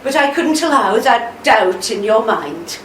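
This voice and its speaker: A woman playing a devoted, steadfast older army wife in a radio play, with a measured, tender delivery. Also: fairly high pitch, expressive, a bit bright. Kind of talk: amateur radio play acting. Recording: roomy, distant mic, faint noise.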